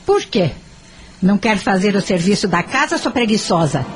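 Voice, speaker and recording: A woman's voice: mid-range voice, very animated, moderate pace, soft background music, natural voice. A woman with a Brazilian accent acting a harsh, grieving mother in an audio drama. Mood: bitter, scolding. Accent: Brazilian Portuguese. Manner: harsh, scolding.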